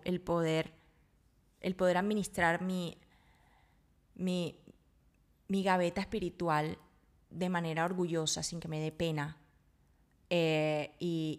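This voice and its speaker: A woman hosting a solo podcast, talking reflectively and informally, earnestly working out her thoughts aloud. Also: on the low side. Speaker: podcast host.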